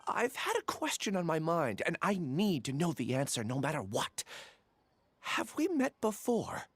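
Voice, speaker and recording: A woman playing an awkward, talkative character in a video game, speaking politely with expressive hesitations. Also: deep voice, normal speed, breathy edge, full character voice. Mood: curious, awkward.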